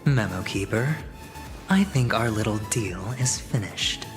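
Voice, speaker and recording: Scheming young negotiator in a story-driven game. Suave, showy, persuasive delivery over prominent music. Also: male voice, lowish voice, animated, unhurried. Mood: smooth, scheming. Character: smooth, scheming young male corporate negotiator and gambler. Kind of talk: video game story dialogue.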